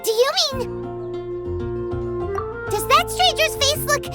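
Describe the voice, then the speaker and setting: A woman voicing a small, excitable, childlike fairy companion with a squeaky voice in a video game. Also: takes it slowly, over loud music, exaggerated voice. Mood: curious. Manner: refers to self in third person, exclamatory. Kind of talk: animated game dialogue.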